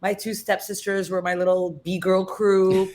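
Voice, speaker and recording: A woman's voice: medium pitch, flat delivery, measured pace, a little mellow. A middle-aged woman from the Philippines, a guest in a radio studio, telling stories in casual chat with many "you know" fillers.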